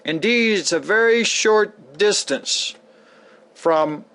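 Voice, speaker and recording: High-pitched man, dramatic lecture reading. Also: a bit bright. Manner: emphatic, theatrical, deliberate phrasing with rhetorical questions. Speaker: author reading a sample of an e-book lecture.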